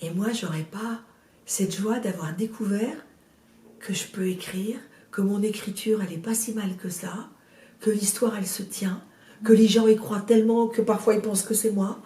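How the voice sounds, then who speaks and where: A woman in her sixties with a cold, chatting in an interview about her first novel, hesitant with many fillers and restarts but lively in bursts. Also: mid-range voice, normal speed, echoey room, recorded from a distance. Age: 60s. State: has a cold. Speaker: guest, novelist. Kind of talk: casual interview chat.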